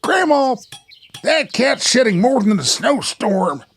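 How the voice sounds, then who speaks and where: A husky young grandson, voiced by a man, anxious and exasperated. His delivery stammers and swings wildly in pitch at a slow, hesitant pace, with radio play dramatics. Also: very animated, fairly bright tone, exaggerated voice.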